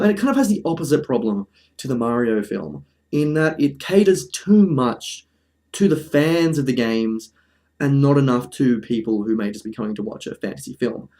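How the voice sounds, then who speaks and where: A man's voice: medium pitch, darkish tone. Dryly joking man hosting a video essay. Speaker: video host. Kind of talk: casual video essay commentary.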